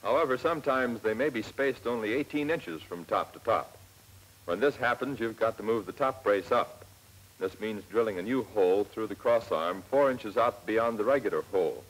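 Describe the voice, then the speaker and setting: An American man narrating a 1940s military instructional film in a plain, measured, step-by-step manner, on an old film soundtrack. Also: on the low side, expressive, breathy edge, poor audio. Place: scripted voice-over for film.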